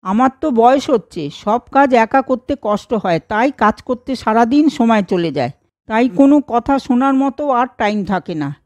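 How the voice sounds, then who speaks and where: A woman's voice: fairly high pitch, animated, normal speed, darkish tone, no echo, lightly acted. A woman voicing an aging, tired mother who complains about the housework in a storybook cartoon. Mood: weary.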